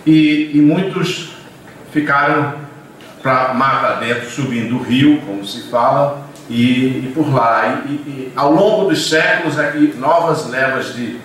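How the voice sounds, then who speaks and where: A man's voice: medium pitch, a little slow, a bit bright, far from the mic, slight background noise. Older male professor lecturing in an echoey room. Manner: discursive, many hesitations and restarts. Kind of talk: university lecture. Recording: echoey classroom recorded from afar.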